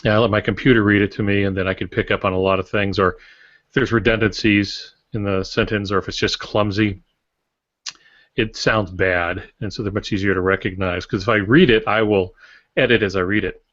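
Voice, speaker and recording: Deep-voiced older American man on call. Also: fairly even intonation, a little fast, fairly bright tone, no echo. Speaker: podcast co-host and writer. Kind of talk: casual explanatory chat. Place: small indoor office with shelves. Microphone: video call, close to camera. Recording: dry, dull video-call audio.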